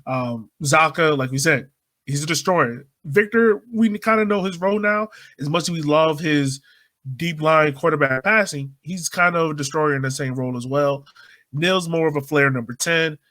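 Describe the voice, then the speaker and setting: A man's voice: fairly high pitch, normal speed, sharp tone, dry recording. A man hosting a podcast, giving casual sports analysis in conversation. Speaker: podcast host.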